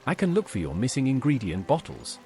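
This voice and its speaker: A woman's voice: a bass voice, sing-song, brisk, a little mellow, soft background music. A synthetic woman's voice playing a curious, helpful adventurer in a video game, with short inquisitive lines.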